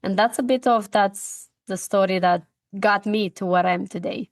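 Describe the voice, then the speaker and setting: A middle-aged woman from Romania with a Romanian accent, a guest coach telling her personal story with earnest, halting feeling. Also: mid-range voice, no room sound, studio quality. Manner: earnest, heartfelt, halting with restarts. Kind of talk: personal story in a podcast interview.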